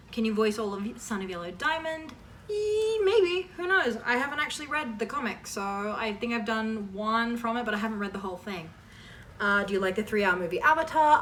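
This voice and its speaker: A woman's voice: a little high, a bit bright. Young woman chatting, distant noisy recording. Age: 20s. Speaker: online voice performer answering fan questions. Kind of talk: casual Q&A chat. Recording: distant mic with clear background noise.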